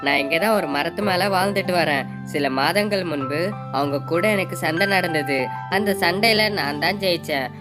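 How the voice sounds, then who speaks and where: A woman's voice: on the low side, moderate pace, close to an everyday voice. A woman voicing a humble, eager young male parrot in a cartoon, very polite and deferential. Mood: earnest, polite. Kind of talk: Tamil moral-story cartoon dub. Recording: loud background music.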